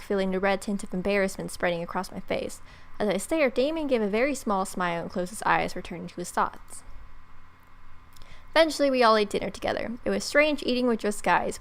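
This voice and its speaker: Female let's player, twenties: medium-pitched, casual narration of game text, sarcastic commentary. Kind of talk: reading visual novel text aloud with wry asides. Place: bedroom.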